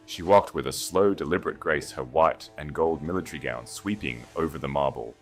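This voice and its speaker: A man's voice: rumbling low, a little fast, soft background music. A man reading dramatic scripted fantasy fiction as an audiobook narrator.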